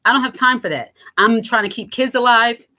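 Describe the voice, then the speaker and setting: A woman in her thirties with a raspy, lower voice, hosting a casual commentary that answers viewer comments. She talks in a frank, lighthearted way, with lots of fillers and restarts. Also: a little fast, bright, forceful tone. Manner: fillers and self-interruptions. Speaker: host.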